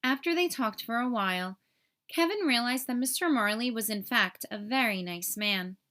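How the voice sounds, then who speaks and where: A woman narrating a children's story aloud in an expressive, clearly enunciated storytelling manner. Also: on the high side, normal speed. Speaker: storybook reader.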